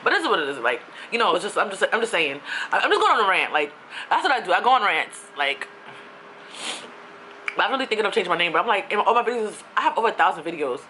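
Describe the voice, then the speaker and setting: A woman's voice: medium pitch, fast talker, a little hiss or hum, rough recording. A young woman from New York, ranting casually on her own vlog in a chatty, slangy way. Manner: chatty, animated, with slang and asides. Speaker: YouTuber.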